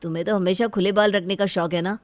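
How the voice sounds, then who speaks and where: A woman's voice: mid-range voice, steady tone, fast, no room sound, natural voice. A woman voicing a dry-sounding, coaxing son. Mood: questioning. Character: reasonable adult son and husband.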